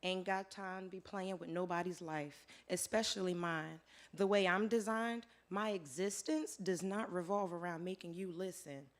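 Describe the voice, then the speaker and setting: Hoarse woman performing spoken word poetry. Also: lowish voice, fairly even intonation, normal speed. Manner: rhythmic rhyming delivery, rising to loud declarations. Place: live venue with audience.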